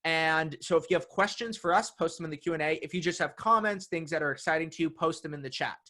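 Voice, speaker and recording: A man hosting an online writing workshop, upbeat and energetic as he introduces the session. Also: a little high, quick pace. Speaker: workshop host. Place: San Francisco.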